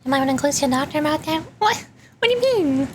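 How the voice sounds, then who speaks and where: A woman's voice: sits high, big pitch swings, brisk, a little hiss or hum. A woman chatting casually and playfully as she livestreams a video game, rambling to herself and her viewers. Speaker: streamer, VTuber. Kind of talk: casual gameplay livestream chat.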